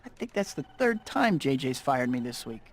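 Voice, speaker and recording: A man's voice: medium pitch, sing-song, normal speed. A young American man voicing a glib, awkward young superhero in a video game, with music behind him. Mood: casual. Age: young adult. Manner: glib, quipping. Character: wisecracking, awkward young male superhero and photographer.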